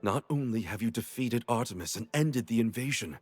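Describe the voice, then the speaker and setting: An actor playing a solemn, grateful ally in a fantasy game, with formal phrasing. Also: male voice, mid-range voice, lively intonation, fairly quick, music in the background, lightly acted. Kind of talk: dramatic fantasy game acting.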